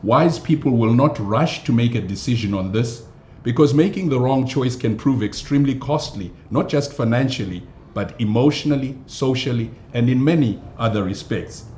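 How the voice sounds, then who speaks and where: A man, a pastor and actor, answering interview questions in a measured, hesitant way with many fillers. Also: medium pitch, animated, mellow. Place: studio. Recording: echoey studio with faint noise.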